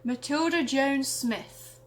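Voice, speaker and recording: A woman voicing a giant cursed fridge creature, gentle, grinding and portentous, in a parody story. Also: high-pitched, slow pace, slight background noise. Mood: solemn.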